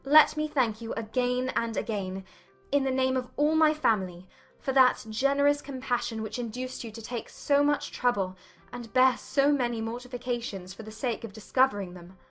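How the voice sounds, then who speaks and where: Period romance audiobook: female actor as a frank, well-mannered young gentlewoman, lightly high-pitched, formal and heartfelt. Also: moderate pace, soft background music, lightly acted. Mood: grateful, sincere. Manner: polite, sincere, formal period phrasing. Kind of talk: dramatized audiobook acting of a classic romance.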